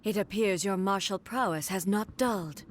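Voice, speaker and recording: An actress playing a proud, stern warrior ruler with godlike power in a video game, speaking in a solemn, imperious, formal manner. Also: female voice, mid-range voice, normal speed, lightly acted. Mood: grave, resolute. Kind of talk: dramatic video game voice acting. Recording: loud music under the voice. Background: loud game music.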